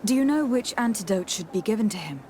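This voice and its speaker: A woman playing a composed, courteous young noblewoman diplomat in a video game, speaking formally in measured phrases with a husky voice. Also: medium pitch, lively intonation, a little mellow, slight background noise, lightly acted. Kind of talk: video game dialogue acting.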